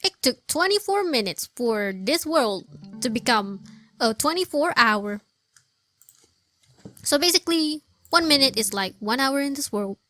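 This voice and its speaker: A woman's voice: high-pitched, lively intonation, unhurried, bright, forceful tone, dry recording. A woman giving casual gameplay commentary, muttering to herself and repeating phrases as she plays. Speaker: gaming streamer. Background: game music.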